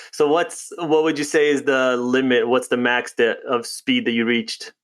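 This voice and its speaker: Host: easygoing man, mid-pitch, chatty and upbeat, asks friendly questions. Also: steady tone, measured pace, a bit bright. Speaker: podcast host. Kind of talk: casual podcast interview.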